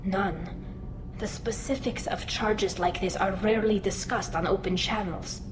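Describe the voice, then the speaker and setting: A woman's voice: medium pitch, steady tone, a little slow, noisy background. Earnest young alien woman in echoing helmet. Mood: worried, sincere. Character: earnest young female alien Quarian engineer, loyal and anxious. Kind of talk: video game voice acting. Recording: roomy game audio with music behind.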